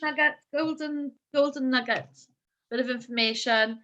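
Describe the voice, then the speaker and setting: A woman's voice: high voice, measured pace, bright, forceful tone, highs cut off. A woman hosting an interview show, chatty and encouraging toward her guest. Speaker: podcast host.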